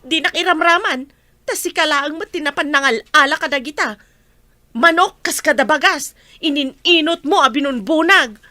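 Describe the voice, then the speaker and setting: A woman's voice: high-pitched, normal speed, pushed and bright, no echo. A woman playing a scolding, evasive village wife with an Ilocano accent in a comedy radio drama.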